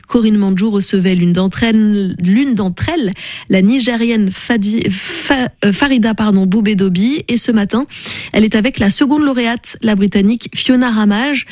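A woman's voice: mid-range voice, a little mellow, no room sound. A woman radio presenter reading scripted links between segments.

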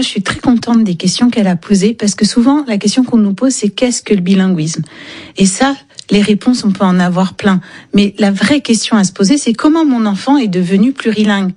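Woman psychologist guest, warm mellow tone, emphatic and keen. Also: mid-range voice. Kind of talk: expert commentary in studio. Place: radio studio.

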